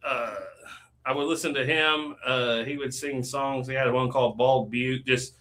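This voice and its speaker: A man's voice: mid-range voice, steady tone, a little slow, a bit bright. A man talking as a guest on a casual live stream, chatty, with lots of fillers and easy laughs.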